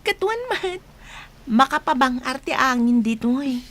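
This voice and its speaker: A woman's voice: sits high, expressive, a little slow, a bit bright. A woman playing a chatty, supportive young best friend in an Ilocano radio drama. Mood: reassuring.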